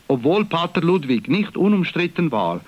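A man from Valais with a Swiss accent, presenting church news on the radio in a formal, measured reading. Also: a little high, very animated, highs cut off. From Valais, Switzerland. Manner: formal, measured, clear delivery.

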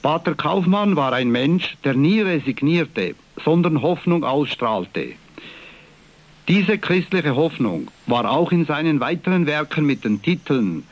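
A man from Valais with a Swiss accent, presenting church news on the radio in a formal, measured reading. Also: fairly high pitch, lo-fi sound. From Valais, Switzerland. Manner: formal, measured, clear delivery.

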